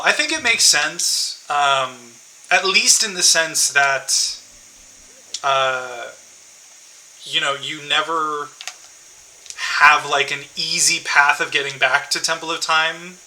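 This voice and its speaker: Slow, bright-voiced male streamer. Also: a little high, a little hiss or hum, low-quality recording. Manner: thinking aloud, many fillers and restarts, talks to chat. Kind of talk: casual game-stream commentary.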